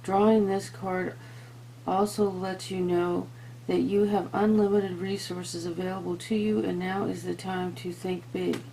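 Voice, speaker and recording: Low-pitched, gravelly woman reading tarot. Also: little pitch movement, a little slow, dark tone, slight background noise, rough recording. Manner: earnest, talks to viewers and to spirit. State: voice giving out, throat-clearing. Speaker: tarot reader and host. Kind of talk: tarot reading, part read aloud, part informal asides to viewers.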